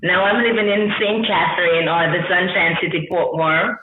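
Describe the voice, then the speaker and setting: A woman's voice: fairly low pitch, steady tone, normal speed, background noise. A woman from Kingston, joining the podcast remotely, friendly and cheerful. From Kingston, Jamaica. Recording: tinny phone-like remote stream, roomy.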